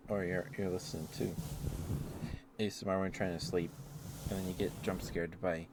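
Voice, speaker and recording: Deep-voiced man rambling on an ASMR stream. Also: flat delivery, normal speed. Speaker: livestreamer. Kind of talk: casual rambling ASMR livestream chat. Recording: clear background noise.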